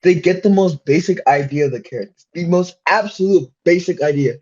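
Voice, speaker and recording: A man's voice: on the high side, fairly quick, crowd noise. A man in casual podcast chat with an emphatic, slangy delivery and free swearing, on a dull lo-fi microphone. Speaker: podcast panelist discussing films.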